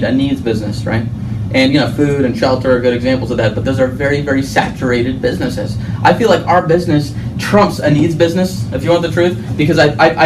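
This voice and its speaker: A man from Arabia, a native Arabic speaker, giving an informal sales presentation to a group in an emphatic, conversational manner with frequent check-in questions. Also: medium pitch. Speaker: financial services presenter and recruiter. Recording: distant mic, clear background noise.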